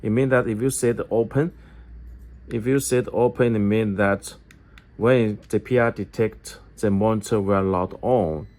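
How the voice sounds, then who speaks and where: A man giving a step-by-step product tutorial in halting English, often repeating his phrases. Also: on the low side, steady tone, darkish tone. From China. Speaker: product demonstrator for an electronics company.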